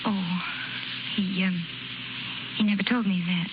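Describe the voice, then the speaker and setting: An actress in a 1930s radio drama playing a plucky young circus woman who speaks plainly and haltingly, with earnest feeling. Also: medium pitch, fairly even intonation, lightly acted. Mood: hurt, resigned. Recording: crackly, muffled 1930s radio broadcast recording.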